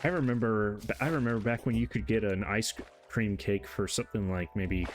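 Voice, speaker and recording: A man's voice: on the low side, normal speed, soft background music. A man in his thirties with a light voice, chatting casually while streaming a game and rambling through answers to his chat.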